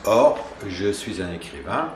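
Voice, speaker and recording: A man with a Luxembourgish accent, a native Luxembourgish speaker, introducing himself as a writer in residence in a measured, reflective way. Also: sits low, big pitch swings. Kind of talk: casual self-introduction. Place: on a river barge. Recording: echoey room with faint ambient noise.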